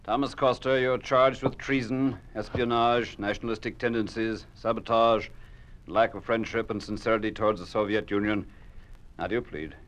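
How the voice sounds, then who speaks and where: An actor playing a stern, coercive judge at a show trial in an old radio drama, with a formal, commanding delivery. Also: male voice, fairly low pitch, fairly even intonation, moderate pace, a bit bright, close to an everyday voice. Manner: formal, commanding, declamatory in court. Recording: noisy old radio recording.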